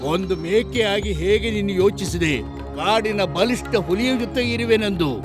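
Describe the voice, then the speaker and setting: A man's voice: sits high, unhurried, music in the background. A man playing a proud, stern tiger king in a children's cartoon story, speaking in an imperious manner. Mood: haughty, stern.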